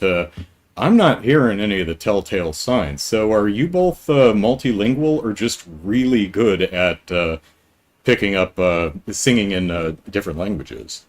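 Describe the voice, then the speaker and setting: A man hosting a live podcast, asking questions in a rambling way with many pauses and restarts. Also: on the low side. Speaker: podcast host. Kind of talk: casual live-stream interview.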